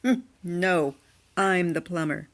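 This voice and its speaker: A woman's voice: lowish voice, takes it slowly. A woman playing a blunt, independent blue-collar plumber with a casual, dry manner in an audio drama. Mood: matter-of-fact.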